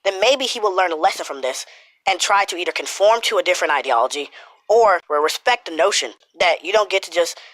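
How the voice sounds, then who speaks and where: A man's voice: high-pitched, lively intonation, fairly quick, fairly bright tone, dry recording, rough recording. A man with a cold and a stuffy nose, rambling through a casual commentary with lots of swearing and filler words. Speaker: YouTuber commentator.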